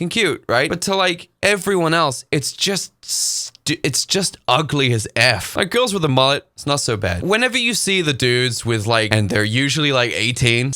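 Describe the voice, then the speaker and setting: A man hosting a casual reaction video from his desk, talking bluntly and sarcastically with big swings in his pitch. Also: sharp tone, dry recording, studio quality. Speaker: YouTube reaction host. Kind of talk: casual ranting commentary.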